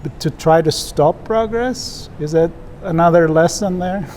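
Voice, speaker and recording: A man's voice: fairly high pitch, unhurried, a little mellow, a little hiss or hum. A man hosting a podcast interview, asking probing questions and adding reflective asides. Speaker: podcast host. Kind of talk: conversational interview. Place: busy conference venue.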